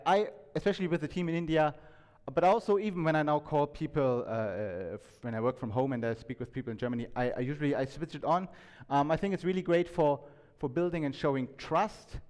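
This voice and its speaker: A man giving an informal talk to an audience, conversational and halting, often restarting his sentences and checking in with questions. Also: mid-range voice, a little mellow. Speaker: conference speaker. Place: indoor talk venue, reverberant room. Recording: echoey hall with long reverb.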